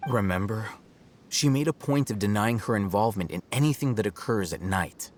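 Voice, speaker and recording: Man playing a low-voiced, coolly analytical scholar. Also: expressive, lightly acted. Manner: measured, didactic, matter-of-fact. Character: composed, coolly analytical male scholar, self-assured and blunt. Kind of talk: video game voice acting. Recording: music playing under the voice.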